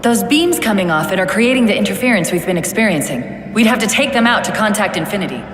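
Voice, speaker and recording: A woman playing a quick-witted AI companion in a video game, briskly guiding with technical detail and dry wit. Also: medium pitch, lively intonation, noisy background, natural voice. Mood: analytical, wry. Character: female AI companion, quick-witted, urgent tactical guide. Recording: echoey reverb under loud game music.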